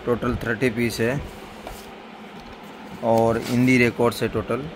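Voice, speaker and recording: A man's voice: medium pitch, flat delivery, unhurried, background noise. A man casually narrating a handheld product showcase indoors on a phone, in plain, repetitive sales patter. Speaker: record seller showing his collection.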